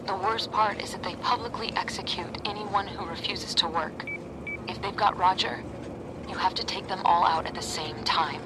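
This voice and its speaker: A woman's voice: mid-range voice, noisy background, natural voice. An actress playing an earnest young woman in a video game, briefing in a serious, matter-of-fact manner. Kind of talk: video game cutscene acting.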